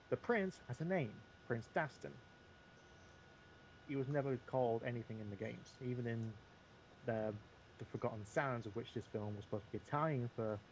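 A man's voice: mid-range voice, a little mellow, slight background noise, rough recording. A man chatting casually about films as a podcast panelist, often repeating and restarting his phrases. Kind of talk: casual film discussion.

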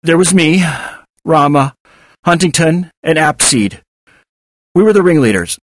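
A man's voice: medium pitch, very animated, a little fast, fairly bright tone, no room sound, clean studio sound, voice pushed up. Man acting a strained, defiant trapped hacker. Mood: struggling. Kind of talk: audiobook dialogue.

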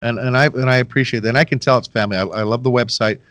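A man's voice: medium pitch, steady tone, racing, a bit bright, no room sound. Middle-aged male podcast host, loose and chatty, self-deprecating, dry close headset sound. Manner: rambling, self-deprecating, folksy asides to listeners. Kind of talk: casual interview chat. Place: home room, video call. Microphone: headset with boom mic.

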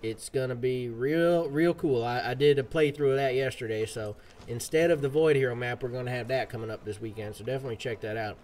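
A man's voice: medium pitch, fairly quick, a little mellow. Man streaming in a cowboy drawl. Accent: put-on American cowboy drawl. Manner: folksy, drawling cowboy slang. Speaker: live streamer. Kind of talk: casual in-character game stream chat.

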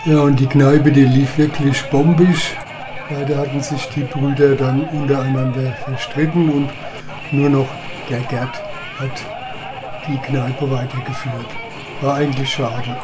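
A man's voice: mid-range voice, little pitch movement, a little slow, a crowd in the background, rough recording. A man narrating old home movies in a chatty, reminiscing voice-over. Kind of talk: casual voice-over commentary. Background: background music.

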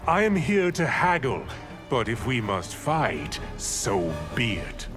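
Sly sorcerer, swooping pitch. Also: male voice, sing-song, slow pace. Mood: sly bargaining. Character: slippery, scheming male demon sorcerer, haggling. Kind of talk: video game voice acting. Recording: music playing behind.

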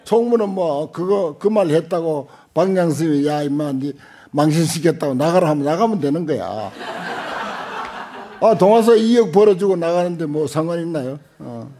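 Older man, Buddhist monk lecturer, raspy, soft dark tone, halting conversational delivery. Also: a little high, expressive. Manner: halting, conversational, with frequent pauses and short interjections. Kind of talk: informal dharma lecture with commentary on a text. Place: lecture room at a temple center, seated at a desk. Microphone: desk gooseneck microphones close in front.

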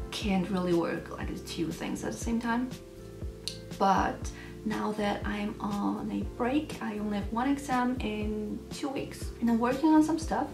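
A young woman from Switzerland hosting a casual Q&A vlog from her bedroom, talking to the camera in a chatty, slightly self-conscious way with pauses and restarts. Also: mid-range voice, darkish tone, roomy sound, recorded from a distance. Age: young adult. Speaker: channel host. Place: bedroom at home, indoors.

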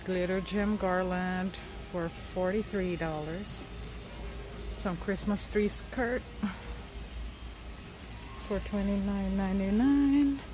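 A woman's voice: medium pitch, slow, pauses often, low-quality recording. A woman casually narrating a shopping trip in short, simple phrases, calling out items and prices. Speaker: shopping vlogger. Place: large indoor warehouse store. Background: music.

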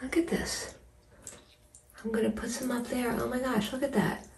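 A woman in her forties chatting casually in an expressive, emphatic way, as a home cleaning vlogger. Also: a little high, moderate pace, a little hiss or hum. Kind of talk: casual vlog chat and narration while cleaning. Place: small tiled bathroom, indoors. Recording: roomy bathroom echo, distant mic.

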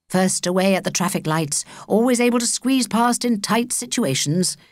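Animated British woman reading a story. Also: fairly low pitch, no room sound, studio quality. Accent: British English. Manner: expressive, measured storytelling. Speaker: audiobook narrator. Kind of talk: scripted children's story narration.

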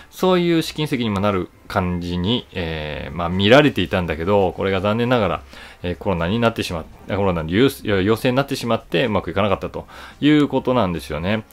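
A man in his forties giving casual, explanatory solo commentary to camera in a conversational, emphatic way. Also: lowish voice. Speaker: voice actor and YouTube host.

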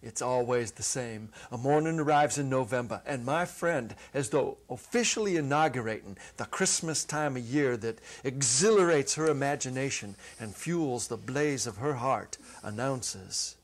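A man's voice: medium pitch, normal speed, few pauses. Middle-aged man reading a story expressively. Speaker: narrator, dramatic reader. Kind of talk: dramatic reading of a literary short story. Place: indoor studio set. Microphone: lapel.

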